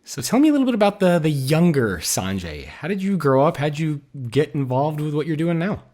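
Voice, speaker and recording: A man's voice: on the high side, big pitch swings, a little fast, a little mellow. A man hosting a podcast, reading a scripted intro and then interviewing his guest in an upbeat way. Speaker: podcast host.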